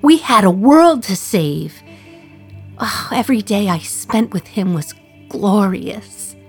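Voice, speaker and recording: A woman playing an imprisoned communist young mother in a radio play monologue, wry, confiding and reflective, with dramatic pauses. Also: mid-range voice, very animated, faint music behind. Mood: proud, glowing. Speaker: actor in a solo radio play.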